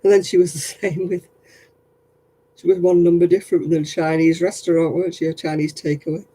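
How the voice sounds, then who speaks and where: A man's voice: fairly high pitch, flat delivery, brisk, mellow, slightly rough. A man chatting casually with viewers while crocheting on a livestream, self-mocking and laughing often. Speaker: craft livestream host.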